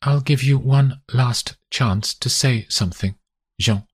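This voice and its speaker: A man voicing a furious, betrayed wife and mother, his voice pushed high and strained with anger. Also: slow, husky. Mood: cold.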